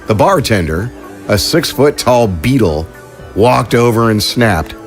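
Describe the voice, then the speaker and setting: Male narrator, low-pitched, steady scripted storytelling over soft music. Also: unhurried. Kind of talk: scripted audio drama narration.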